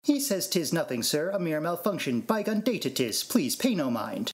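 A man's voice: a little high, sing-song, normal speed. A man acts out an anxious, officious robot servant. The intonation leaps about animatedly, and the phrasing is formal and theatrical, as in a Shakespearean drama reading. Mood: fussy, explaining.

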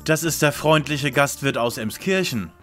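A man's voice: a little high, normal speed, a bit bright, soft background music, lightly acted. A man playing a sober, formal young lead detective in a parody radio play.

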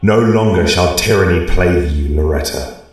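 A man voicing a solemn, commanding male god who makes slow, grandiose pronouncements in a storytelling podcast. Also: low-pitched, heavy reverb, far from the mic, faint music behind, natural voice.

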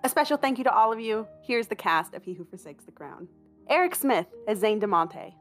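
A woman's voice: mid-range voice, animated, fairly quick. Young woman bantering over music. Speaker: podcast co-creator and host. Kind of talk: casual banter and thank-you message.

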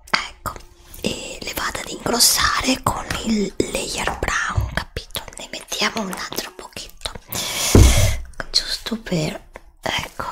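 A woman, softly whispering a skincare review for ASMR close to a desk mic. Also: fairly low pitch. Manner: soft whispering, slow and hesitant. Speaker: ASMR creator. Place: home studio with green screen. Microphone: studio desk mic, close.

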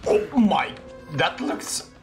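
A young man in a headset commenting casually on a game he is playing, excitable and slangy, laughing often. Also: sits high, drawn-out words, over music. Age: young adult. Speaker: gaming streamer. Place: small room at a desk.

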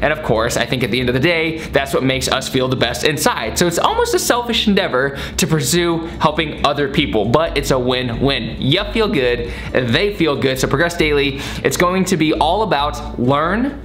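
A man's voice: on the high side, moderate pace, hardly any pauses. Upbeat young man vlogging to camera. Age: 20s. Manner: energetic, gestures a lot while talking. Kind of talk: casual vlog to camera. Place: small bedroom studio, indoors.

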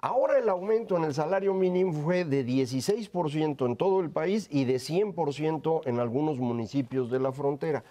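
A man's voice: fairly high pitch, dark tone. A man in his fifties with a Mexican accent, making his case assertively as a television panelist. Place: television studio panel desk. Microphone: lapel.

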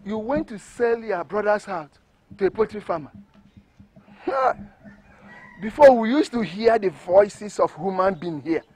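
A man's voice: high voice, animated, a little slow, pauses often, darkish tone, breathy edge, no room sound, heavily acted. An actor with a Nigerian accent playing a blunt, accusing older village man in a film drama, scolding and questioning. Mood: scornful.

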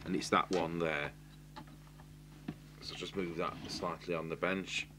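An English man with a low-pitched voice talks through a technical repair in a matter-of-fact, informal way. He thinks aloud as he works at an indoor bench. The recording carries a faint background hum and noise. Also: fairly even intonation, unhurried. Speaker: radio repair technician, presenter. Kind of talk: informal hands-on repair demonstration commentary. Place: indoors at a workbench.

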